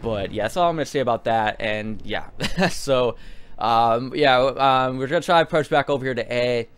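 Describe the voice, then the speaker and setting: A man's voice: medium pitch, normal speed, a bit bright, clear, resonant tone. A man giving casual video game commentary in a rambling, hesitant way, often repeating himself.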